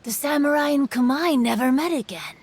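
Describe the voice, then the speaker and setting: A woman voicing a shy, wistful young woman in an anime game, soft-spoken and hesitant. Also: high voice, animated, a little fast, slight background noise.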